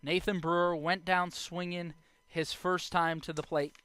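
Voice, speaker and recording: A young adult man doing live baseball play-by-play as the announcer, speaking in short clipped phrases with measured pauses. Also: high voice, little pitch movement, sharp tone, clean tone, no echo. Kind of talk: live sports play-by-play commentary.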